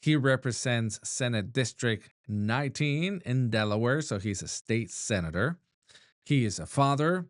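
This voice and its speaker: A friendly man hosts a relaxed interview podcast, his voice sitting at an ordinary middle pitch. He sounds eager and keeps things light. The recording is close and dry, with no room sound. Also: unhurried, no room sound. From Argentina. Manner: friendly, enthusiastic, laughs easily. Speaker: podcast host. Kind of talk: casual interview.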